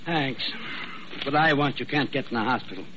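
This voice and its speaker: A man's voice: medium pitch, normal speed, background noise, lightly acted. An actor playing a prickly, self-important male patient in old radio drama, curt and impatient. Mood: irritated. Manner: curt, irritable. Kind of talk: 1940s radio drama acting. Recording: muffled old radio recording, busy background sound.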